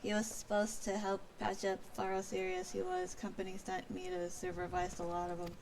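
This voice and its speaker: A woman voicing a gruff, hard-of-hearing old dockside overseer in salty dialect for a game, with clipped words. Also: medium pitch, big pitch swings, brisk, darkish tone, a little hiss or hum. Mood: blustery, gruff. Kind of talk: game dialogue read aloud.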